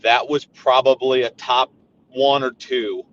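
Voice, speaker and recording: A hoarse man calls in and talks in an eager, chatty way, his voice bright at the edges. The line sounds muffled and a little lo-fi, with a faint rumble behind it. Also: steady tone, a little slow. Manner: enthusiastic, chatty. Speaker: caller.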